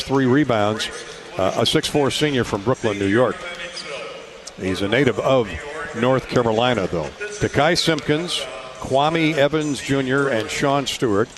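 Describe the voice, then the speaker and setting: A man working as a radio play-by-play announcer, giving sports commentary in a somewhat halting way. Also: mid-range voice.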